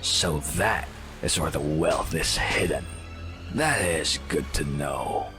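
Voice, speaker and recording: A deep, menacing raider captain. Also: male voice, over music. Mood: cold disdain. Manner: slow, deliberate, contemptuous delivery. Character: menacing, haughty male sea-raider captain of ancient times. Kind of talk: audio drama acting.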